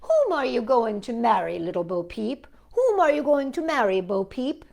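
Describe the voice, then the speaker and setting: A woman reading the part of a gentle, earnest small boy from a nursery rhyme in lilting, measured verse. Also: a little high, very animated, creaky. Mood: tender.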